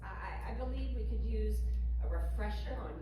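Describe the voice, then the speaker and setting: A woman playing a businesslike, encouraging theatre colleague in a staged play reading, with measured, emphatic phrasing. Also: medium pitch, lightly acted. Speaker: stage actor. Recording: distant mic, echoey theatre, background noise.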